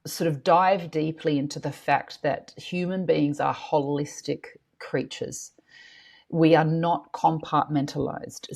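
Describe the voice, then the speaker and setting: Passionate low-voiced woman, husky. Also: a little mellow. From Australia. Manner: passionate, earnest, swears casually. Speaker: guest, school co-founder. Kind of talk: podcast interview.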